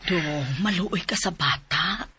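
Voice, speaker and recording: A woman with a Cebuano accent voicing a gentle, worried middle-aged mother in a radio drama, pleading and expressive. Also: on the low side, a little slow, very noisy, lightly acted.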